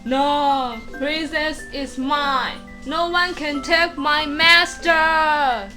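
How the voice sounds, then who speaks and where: A woman as a shrill, possessive toy bear. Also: steady tone, drawn-out words, pushed and bright, soft background music, full character voice. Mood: defiant. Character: jealous, possessive little toy bear who turns huge. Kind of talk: amateur puppet show acting.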